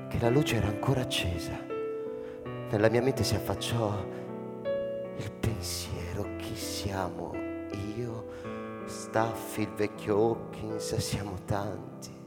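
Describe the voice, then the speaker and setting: A male actor plays a pensive old man in a radio drama, weary and introspective. He speaks slowly in a low voice, with halting pauses, while loud piano music plays behind him. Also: big-room sound, close to an everyday voice. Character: weary, pensive old man, narrating his own story.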